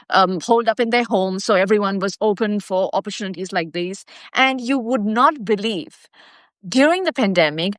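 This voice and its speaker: A woman's voice: mid-range voice, a bit bright, dry recording, clean studio sound. A woman with an Indian accent telling her personal story to viewers as a live stream host, speaking emphatically and repeating key phrases for stress.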